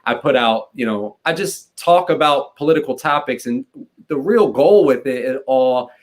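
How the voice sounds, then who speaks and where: A man in his late twenties, a guest political consultant, talking fast and casually with lots of "like". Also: medium pitch, expressive. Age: 20s. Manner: fast, conversational, peppered with "like". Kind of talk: casual interview chat.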